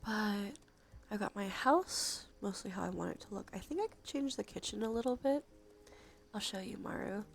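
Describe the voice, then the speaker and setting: A soft-spoken woman chatting on a cozy ASMR livestream, with a creaky voice that often slips into a breathy whisper. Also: mid-range voice, moderate pace, soft background music. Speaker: streamer.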